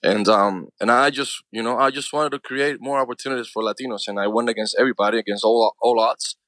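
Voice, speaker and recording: A man's voice: mid-range voice, fairly even intonation, fast talker, a bit bright, dry recording. A man around forty with a Cuban accent, Spanish his first language, talking earnestly as an interview guest and peppering his speech with "man" and "you know". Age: 40s. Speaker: actor and filmmaker guest. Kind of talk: casual interview talk.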